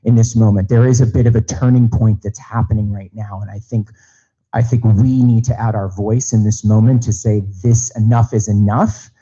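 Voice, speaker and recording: A man's voice: lowish voice, steady tone, moderate pace, dark tone, husky, highs cut off. A man on a party committee giving an earnest speech at a public meeting in a large auditorium, repeating phrases as he goes.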